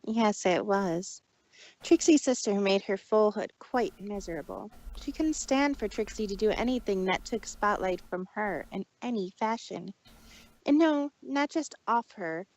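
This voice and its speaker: A woman's voice: mid-range voice, animated, a little slow, darkish tone, low-quality recording. A woman playing a proud but wounded female pony magician who speaks haughtily of herself in the third person, in a fan fiction audio drama. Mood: indignant, bitter. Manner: haughty, self-referential third-person speech.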